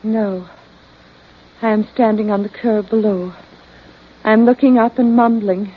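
An actress playing an entranced woman in a vintage crime radio drama, speaking in halting, trancelike short phrases. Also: mid-range voice, steady tone, breathy edge. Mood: dazed, ominous. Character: entranced woman reliving deaths, dazed then frantic. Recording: dull lo-fi old radio recording.

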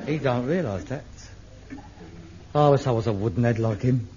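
An actor playing a frustrated middle-aged son, theatrical, swinging from wistful muttering to manic ranting in a sitcom. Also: male voice, mid-range voice, normal speed, heavy background noise, natural voice. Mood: scornful musing. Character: frustrated middle-aged son, a rag-and-bone man with grand dreams. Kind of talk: 1970s TV sitcom acting. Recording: dull old TV soundtrack.

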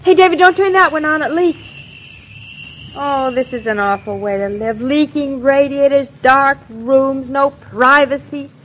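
A young newlywed wife in 1940s radio style. Her voice sits a little high, girlish and chatty, with an earnest eagerness over some insecurity. The sound is muffled, like an old lo-fi broadcast transcription. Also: measured pace, a bit bright, slight background noise. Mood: discontented. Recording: dull lo-fi old radio recording.

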